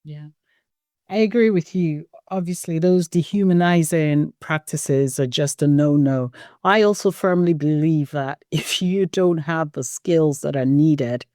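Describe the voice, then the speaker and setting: A podcast host with a frank, opinionated manner, leading a chatty interview. The voice sits at a middling pitch and is recorded dry and close. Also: unhurried, a little mellow, no room sound.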